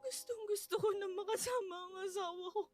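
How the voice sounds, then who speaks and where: High-pitched, tearful grieving Filipino widow. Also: female voice, brisk. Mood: guilt-ridden sobbing. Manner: tearful, pleading delivery with repeated broken phrases. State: crying. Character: grief-stricken young widow, anguished, guilt-ridden, despairing. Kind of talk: radio drama acting, heavily emotional. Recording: studio voice over prominent dramatic music.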